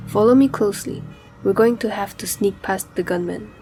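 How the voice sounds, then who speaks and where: A woman playing a protective, steady mother fleeing a war in a radio drama, instructing and reassuring. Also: mid-range voice, moderate pace, faint music behind, natural voice. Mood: urgent, cautious.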